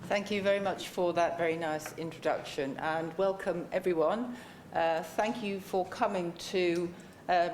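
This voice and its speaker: Low-voiced woman in her sixties. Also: darkish tone, slight background noise. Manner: measured, polite, frequent ums. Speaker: panel chair and book co-author. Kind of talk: welcoming remarks at a book launch. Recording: echoey lecture room.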